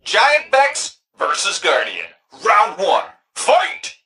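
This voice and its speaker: An actor playing an excitable, cheeky young tech-whiz sidekick in a video game, with a slangy, playful manner. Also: mid-range voice, takes it slowly, vocal fry, a little hiss or hum, heavily acted. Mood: upbeat. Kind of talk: video game character dialogue.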